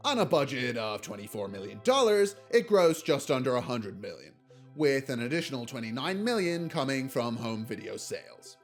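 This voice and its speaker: Man narrating with lively, swinging pitch. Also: normal speed. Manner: blunt, casually sardonic, swearing. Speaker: video essayist reviewing films. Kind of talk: scripted commentary narration. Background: music.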